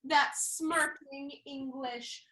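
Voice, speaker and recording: A woman's voice: high-pitched, fairly even intonation, extremely slow, sharp tone, roomy sound, heavily acted, poor audio. An actress playing a bold, witty young noblewoman in a Restoration comedy, with spirited, teasing emphasis. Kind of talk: Restoration comedy stage acting.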